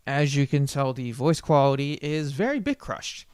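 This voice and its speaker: A man presenting an unscripted software tutorial in an informal, rambling way, often correcting himself as he goes. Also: mid-range voice, animated, moderate pace, smooth, no echo, studio quality.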